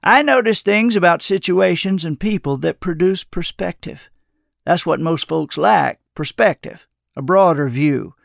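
A kindly old man of the road, acted out by a male narrator, pitched a little high with some creak in the voice. He talks in a calm, folksy, soothing way, like a storybook mentor, close and dry. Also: measured pace, dry recording. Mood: earnest. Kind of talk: audiobook character dialogue.

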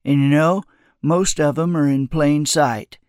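A man voicing a kindly, calm old wanderer with an unhurried, soothing, folksy way of talking, as character dialogue in an audiobook. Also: fairly high pitch, clean tone, no echo, clean studio sound, lightly acted. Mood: earnest.

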